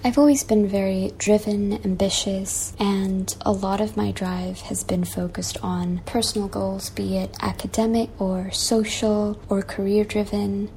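A young woman from Malaysia reads her own reflective essay, earnest and measured, returning to a repeated refrain. Her voice is mellow with a gravelly edge. A faint hiss sits under the recording. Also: medium pitch, flat delivery. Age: 20s. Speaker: guest essayist. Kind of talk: reflective personal essay, read aloud. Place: childhood bedroom at home.

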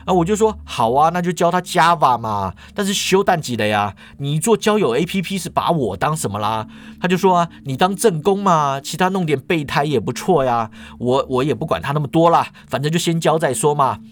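Dejected young male student, rambling fast, voiced by a man. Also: fairly high pitch, animated, slight background noise, natural voice. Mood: complaining.

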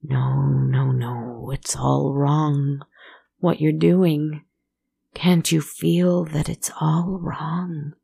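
A storyteller voicing an old woman fortune teller, speaking in a slow, hissing whisper that is low and gravelly. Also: little pitch movement, mellow, no room sound, exaggerated voice. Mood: troubled.

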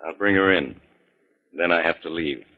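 A man playing a slick, domineering séance swindler, insistent and smooth-talking, in 1940s radio style. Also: low-pitched, moderate pace. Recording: dull old 1940s radio recording.

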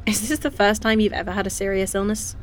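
A woman acting naturalistically in an audio drama as a caring, no-nonsense scientist and medic, with a dry, brisk, informal manner. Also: mid-range voice, level tone, a little hiss or hum, natural voice. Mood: wry, caring.

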